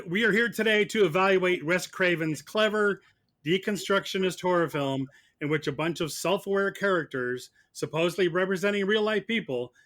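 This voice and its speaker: A man playing prosecutor in a mock trial, arguing in a sarcastic, halting, conversational way. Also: on the high side, pushed and bright. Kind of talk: mock courtroom argument, conversational.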